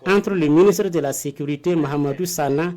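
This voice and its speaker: A man's voice: a little high, a little fast, darkish tone. A man narrating a scripted news report as a reporter.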